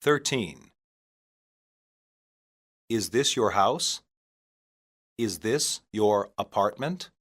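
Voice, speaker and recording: A man reading scripted English practice phrases for learners, deliberately and in separated phrases. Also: fairly low pitch, expressive, a bit bright. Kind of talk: scripted language-lesson reading.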